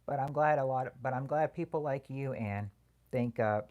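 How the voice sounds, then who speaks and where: A livestream host with a voice that viewers call soothing, chatting casually and haltingly with viewers and answering their comments. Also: mid-range voice, dull audio. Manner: hesitant, halting, full of restarts.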